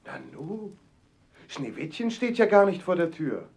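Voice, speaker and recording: A man playing a small, gruff and loyal dwarf in a fairy-tale audio play, speaking bluntly and stubbornly. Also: on the high side, big pitch swings, a little slow, darkish tone, full character voice.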